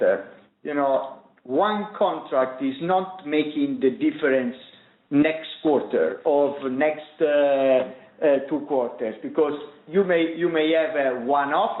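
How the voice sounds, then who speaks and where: Halting man on an echoing phone line. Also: medium pitch. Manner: halting, searching for words. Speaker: company executive. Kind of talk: unscripted answers on an earnings conference call. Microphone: conference call line.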